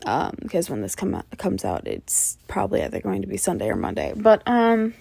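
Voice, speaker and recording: A woman's voice: medium pitch, huge pitch swings, brisk, creaky, mellow, slightly rough, no room sound. A young adult woman, an ASMR channel host, chatting casually and a little hesitantly to her listeners in an informal way.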